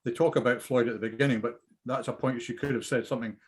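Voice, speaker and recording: A man's voice: medium pitch, fairly even intonation, quick pace, slight background noise. A man giving informal script feedback in a hesitant, rambling way, often repeating himself. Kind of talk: informal critique discussion.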